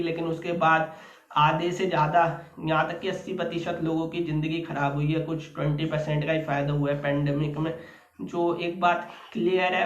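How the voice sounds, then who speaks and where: Guy rambling opinions at viewers, kinda high voice, muffled cheap mic in an echoey room. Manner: rambling, opinionated, addresses listeners directly. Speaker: host of a political commentary channel. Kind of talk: unscripted political commentary monologue. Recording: echoey room, dull lo-fi microphone.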